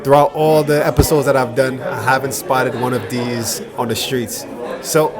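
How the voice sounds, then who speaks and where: A male host chats easily with collectors at a boutique event, dropping quick yeahs and for sures. His voice sits at an ordinary middle pitch. A busy crowd murmurs all around him. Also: little pitch movement. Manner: friendly, agreeable, lots of short affirmations. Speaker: interviewer and channel host. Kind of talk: casual event interview. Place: indoor watch boutique event. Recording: voices over a chatty crowd.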